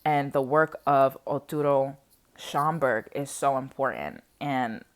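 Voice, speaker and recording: Woman, 20s, low-pitched voice, unscripted personal vlog, conversational and animated, wanders between thoughts. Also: takes it slowly. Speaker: vlogger sharing personal reflections on history. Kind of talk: casual unscripted chat to viewers.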